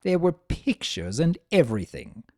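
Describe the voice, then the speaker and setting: A man narrating a story as an expressive, theatrical dramatic reading. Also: fairly high pitch, normal speed, no echo, studio quality. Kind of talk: dramatic fan fiction reading.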